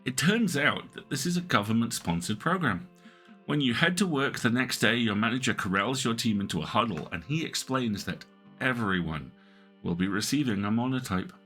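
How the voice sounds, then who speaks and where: A man reading interactive fiction aloud on a stream, with measured, atmospheric narration. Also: medium pitch, expressive, fairly bright tone, faint music behind. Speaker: game narrator.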